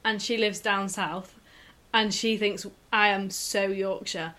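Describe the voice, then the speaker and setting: A young woman hosting a relaxed podcast from her home. Her voice is bright and forward, her talk rambling and chatty. She laughs often between her thoughts. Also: medium pitch, slow pace. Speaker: podcast host. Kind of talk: casual chat between friends. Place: shared home.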